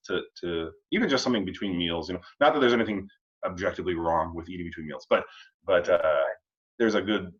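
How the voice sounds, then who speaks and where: Low-voiced man in halting, reflective chat. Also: muffled recording. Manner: halting and rambling, checking in with "you know" and "huh". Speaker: host of a family read-along discussion. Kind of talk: casual reflective chat on readings.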